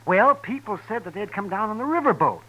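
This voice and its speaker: Casting: hesitant, folksy American western doc, high voice that swoops around, vintage radio drama. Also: male voice, animated, fairly quick, sharp tone, full character voice, rough recording. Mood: evasive. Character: folksy, evasive frontier town doctor, excitable and chuckling. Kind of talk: 1950s radio western character acting. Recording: vintage 1950s radio recording.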